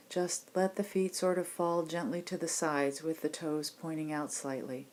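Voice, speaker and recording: Female meditation teacher, lowish voice, gentle and reassuring, leading a guided relaxation practice. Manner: gentle, unhurried, reassuring instruction. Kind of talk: guided body scan meditation instruction.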